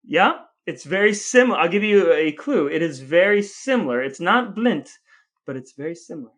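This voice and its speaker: A man's voice: a little high, expressive, normal speed, a bit bright. A man hosting a casual podcast, joking and teasing as he plays quizmaster in loose conversational banter. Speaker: podcast host, playful quizmaster. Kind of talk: casual banter between brothers.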